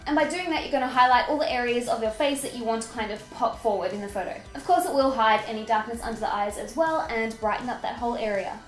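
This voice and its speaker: Fast-talking young woman w a stuffy nose, high voice, roomy sound, soft music behind. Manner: chatty, upbeat, self-mocking. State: nasal from a head cold. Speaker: beauty vlogger. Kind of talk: makeup tutorial with voice-over. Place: indoor room with fairy lights.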